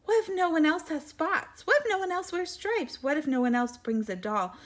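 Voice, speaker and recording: Woman voicing a high, fretful little girl mouse. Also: animated, moderate pace. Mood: worried. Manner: fretful, emphatic questioning. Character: anxious little girl mouse who frets over everything.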